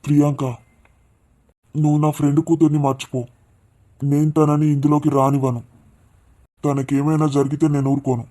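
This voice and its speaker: A man's voice: a little high, little pitch movement, normal speed, long pauses, dark tone, natural voice. A man playing an upright father who firmly and indignantly rebukes his scheming wife. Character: upright father, protective of his friend's daughter. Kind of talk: melodramatic audio drama.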